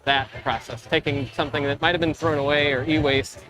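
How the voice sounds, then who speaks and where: A man's voice: on the high side, fairly even intonation, fairly quick, rough recording. A man co-hosting a casual livestream interview, chatty and enthusiastic, with frequent restarts. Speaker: livestream co-host interviewing creators. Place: busy expo floor.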